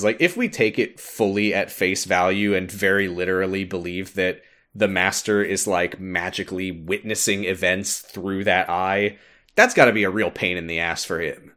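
A man's voice: deep voice, normal speed, fairly bright tone. A man hosting a podcast, casually retelling a game story with informal commentary and frequent laughter. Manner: laughs often, informal, mildly sweary. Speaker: podcast host.

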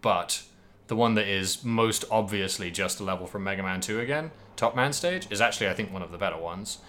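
A man's voice: lowish voice, a little fast, sharp tone, slight background noise. A man hosting a podcast, casually discussing video games in a rambling way, hedging and thinking aloud as he goes. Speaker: podcast host.

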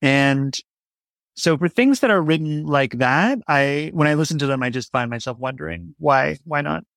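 Male podcast guest, creaky voice, dry close sound, casual, thinking aloud. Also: a little high, normal speed, fairly bright tone, no echo. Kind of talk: casual interview conversation.

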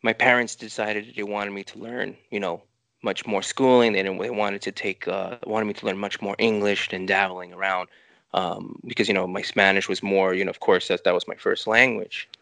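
A middle-aged New York man talks loosely about his work, circling back with restarts and constant fillers. His low voice has a gravelly edge and a bright, forceful tone, recorded close and dry. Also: level tone, normal speed, no room sound. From New York City. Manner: rambling, many restarts and "you know" fillers. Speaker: filmmaker guest. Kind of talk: casual interview answers.

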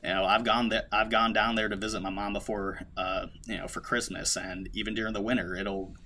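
A young man talks casually as a podcast guest. His voice sits low but sounds bright and forward. He rambles, restarting sentences and leaning on fillers. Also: little pitch movement, a little fast. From United States. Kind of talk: casual conversation.

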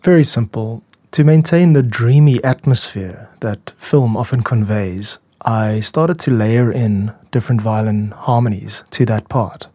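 A man hosting a podcast, explaining his own music in a measured, reflective way. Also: fairly low pitch, a little mellow, no echo, studio quality. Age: adult. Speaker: podcast host and music producer.

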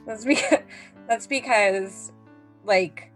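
A woman in her thirties chatting casually with friends in an animated, exclaiming way. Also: medium pitch, takes it slowly. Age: 30s. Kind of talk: casual group chat. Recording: music behind the talk.

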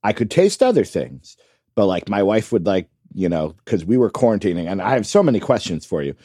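A man co-hosting a casual podcast, chatty and curious, asking lots of questions and filling in with quick replies. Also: fairly low pitch, sing-song, mellow, no echo, clean studio sound. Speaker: podcast co-host. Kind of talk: casual chat.

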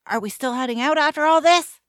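A woman's voice: high voice, fairly quick, no echo, studio quality, natural voice. An actress playing a brash, loyal, teasing young female adventurer in a fantasy audio drama. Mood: loyal, concerned. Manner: brash, plucky, slangy.

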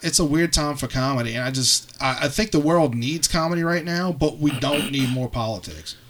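Higher-voiced man ranting casually about comedy. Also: fairly quick, a bit bright. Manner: profane, opinionated, breaks into mocking imitations. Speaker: podcast host. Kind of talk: casual comedy podcast chat.

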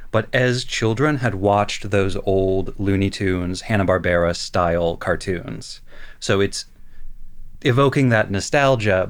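Low-voiced man explaining cartoon lore. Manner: thoughtful, explanatory. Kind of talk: casual analytical chat.

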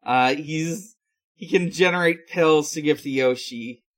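Man, slightly high voice, slow pace, hesitant, casual podcast co-host, explanatory recap. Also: fairly bright tone. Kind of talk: casual episode recap with co-hosts.

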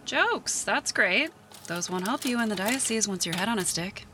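A woman's voice: mid-range voice, lively intonation, moderate pace, background noise, lightly acted. A woman playing a jaded, blunt schoolteacher with a dry, sardonic manner, in a naturalistic audio drama. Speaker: actor in an audio play.

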